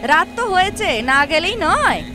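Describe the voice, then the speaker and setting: A woman's voice: very high voice, very animated, measured pace, exaggerated voice. A woman playing a dutiful, fretful village daughter-in-law in an audio story. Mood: worried. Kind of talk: Bengali audio story acting. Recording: music bed under the voice.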